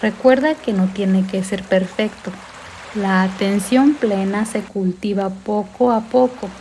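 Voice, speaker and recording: A woman leads a guided meditation with a soft, mellow voice. She speaks slowly and gently, calming the listener with each instruction. Sea water sounds run clearly behind her. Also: mid-range voice, a little hiss or hum, low-quality recording. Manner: calm, gentle, soothing, unhurried instruction. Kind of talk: guided mindfulness meditation narration. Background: sea sounds and background music.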